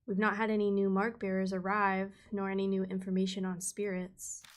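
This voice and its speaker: A woman voicing a young male investigator who narrates his own story, reading in a steady, solemn way with a lowered voice. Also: brisk, close to an everyday voice.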